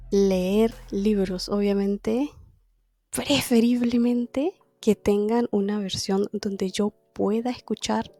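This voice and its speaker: A woman's voice: medium pitch, dark tone. A woman teaching a language class, explaining methods in a conversational way and often asking herself rhetorical questions. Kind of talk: explaining a lesson to camera.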